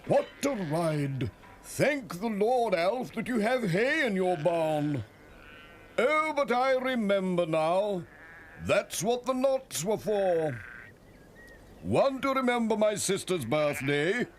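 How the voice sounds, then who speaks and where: A man's voice: high-pitched, sing-song, slow, voice pushed up, exaggerated voice. A man voicing an absent-minded, pious village vicar with a dithery, exclaiming manner and an English accent. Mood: flustered relief. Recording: animal and vehicle noise behind.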